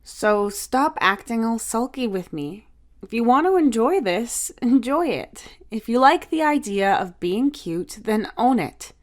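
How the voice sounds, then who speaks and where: A woman's voice: a little high, animated, measured pace, pitched above the performer's own voice. Woman as a mischievous older sister, girlish, encouraging, casual, playful, storybook character. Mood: supportive.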